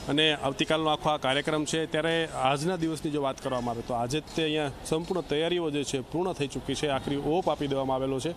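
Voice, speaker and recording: A man's voice: fairly high pitch, steady tone, quick pace, few pauses. A man giving an improvised, wordy live report as a field correspondent outdoors. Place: outdoors at an event ground. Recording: faint outdoor noise, vehicles.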